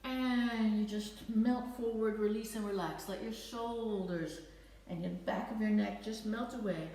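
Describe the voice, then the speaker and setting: A woman fitness instructor with a slight Dutch accent, leading a guided stretching class with gentle, encouraging cues. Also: medium pitch, fairly even intonation, measured pace, recorded from a distance. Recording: echoey room, voice off-mic.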